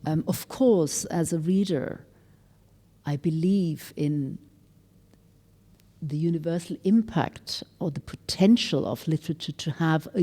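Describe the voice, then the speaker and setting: Mellow-voiced woman moderating in a hall. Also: on the low side. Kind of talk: panel discussion. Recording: reverberant lecture hall.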